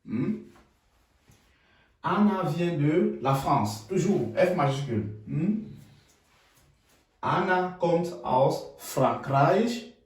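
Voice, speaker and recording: A man from Cameroon teaching German in French, repeating phrases slowly and adding checking tags like "hmm?". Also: a little high, lively intonation, very echoey, distant mic. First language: Ngamba. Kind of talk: bilingual language lesson.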